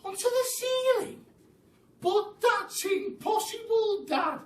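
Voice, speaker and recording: A cheeky young monkey from a children's story played by a man exclaims doubtfully, pitched way up. The room is echoey. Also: animated, takes it slowly, full character voice. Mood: incredulous. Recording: roomy, distant mic with faint noise.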